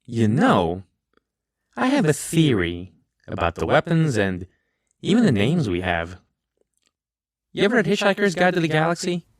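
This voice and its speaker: Casting: male actor as geeky teen theorist, conversational, hugely varied pitch, dry close sound. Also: huge pitch swings, normal speed, long pauses, no echo. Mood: musing. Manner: conversational, musing. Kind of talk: audio drama acting.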